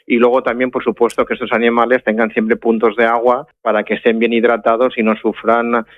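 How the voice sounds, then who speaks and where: A man's voice: medium pitch, flat delivery, fairly quick, no room sound. A man explaining things as an interview guest over the phone. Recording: thin, narrow phone line.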